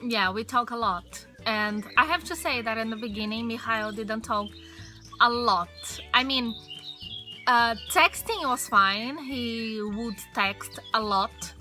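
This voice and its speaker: A woman's voice: fairly high pitch, takes it slowly, sharp tone, faint music behind. A young woman from Brazil with a Brazilian Portuguese accent, chatting casually to camera in English with many fillers, outdoors on a patio. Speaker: vlogger. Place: outdoor patio by a wooden fence.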